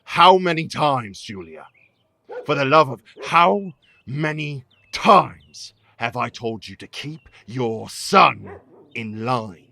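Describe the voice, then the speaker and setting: A man's voice: mid-range voice, expressive, slow pace, creaky, pushed and bright, heavily acted. An actor playing a stern, irritable father with a curt, scolding manner in an audio drama. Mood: angry. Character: stern, irritable father who dislikes magic.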